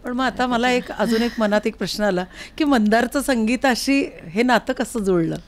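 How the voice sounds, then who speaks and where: A woman's voice: a little high, moderate pace. Woman hosting a conversational podcast interview. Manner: encouraging, interjects short acknowledgements. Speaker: podcast host. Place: recording studio.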